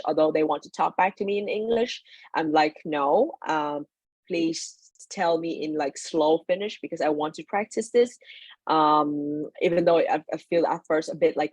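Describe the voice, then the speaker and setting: Young woman, low husky voice. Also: darkish tone, no room sound, low-quality recording. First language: Vietnamese. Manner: loose and chatty, frequent fillers and self-corrections. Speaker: webinar speaker, former student in Finland. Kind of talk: informal personal talk to an online audience.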